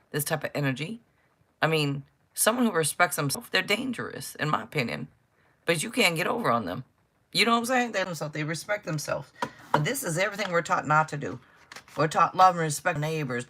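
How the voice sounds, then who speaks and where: A woman's voice: deep voice, a bit bright. An older woman doing a casual livestream tarot reading, chatty and blunt, interrupting herself and swearing freely. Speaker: tarot reader.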